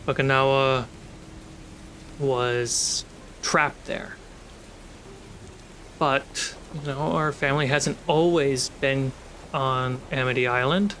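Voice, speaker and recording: A man working as game master, narrating a tabletop fantasy game with deliberate, dramatic storytelling pauses. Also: mid-range voice, fairly even intonation, fairly bright tone, a little hiss or hum. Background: storm and ship sound effects.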